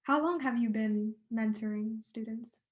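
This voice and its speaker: A woman's voice: a little high, a little slow. A young woman working as an intern, politely reading prepared interview questions.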